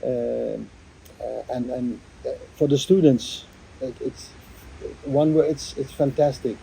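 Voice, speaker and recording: A man, a workshop coordinator, giving a reflective interview answer in a halting way, with many fillers and restarts. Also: mid-range voice, creaky, warm tone, slight background noise, low-quality recording.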